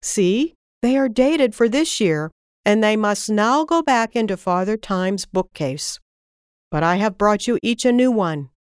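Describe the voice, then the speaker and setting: A woman voicing a kindly but firm fairy in a storybook, patient and measured as she teaches. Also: mid-range voice, lively intonation, clean tone, no room sound, studio quality, close to an everyday voice.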